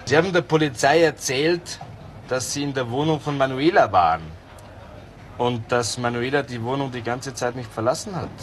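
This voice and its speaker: A man's voice: mid-range voice, moderate pace, a little hiss or hum, natural voice. An actor playing a sly, needling tough guy in a crime radio drama. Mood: needling, menacing.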